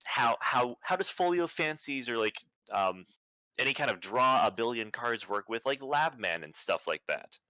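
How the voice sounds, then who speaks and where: Monotone young man with a bright, dry voice. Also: fairly low pitch, dry recording, low-quality recording. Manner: flat, self-described monotone, many fillers. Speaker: presenter. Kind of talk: online conference presentation.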